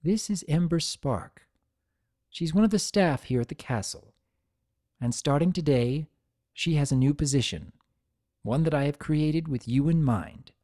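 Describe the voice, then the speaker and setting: A man voicing a regal female pony ruler in a story reading, composed, gentle but firm, with measured, formal speech. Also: mid-range voice, expressive, no echo, studio quality.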